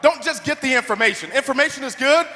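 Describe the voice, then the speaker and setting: A young man giving a motivational speech from a stage, leading the crowd in call-and-response and often shouting. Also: extremely high pitch, expressive, fast talker. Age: 20s. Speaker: motivational speaker. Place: large event hall. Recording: hall reverb, faint crowd.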